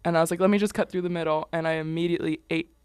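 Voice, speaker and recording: Animated young woman, lowish voice, close and dry. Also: racing, smooth, dry recording. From South Florida, United States. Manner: animated, exclamatory, bantering. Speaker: guest contestant on a sports talk show. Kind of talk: casual chat.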